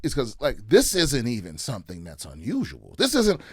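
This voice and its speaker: A man's voice: mid-range voice, huge pitch swings, normal speed, husky, dry recording, clean studio sound. A man in casual podcast chat, ranting and swearing, with many restarts. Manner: ranting, profane, repeats and restarts. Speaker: comedian guest.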